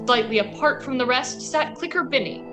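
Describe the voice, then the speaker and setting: A woman's voice: high-pitched, animated, moderate pace. Woman narrating radio drama over music. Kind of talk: scripted old-time radio drama narration.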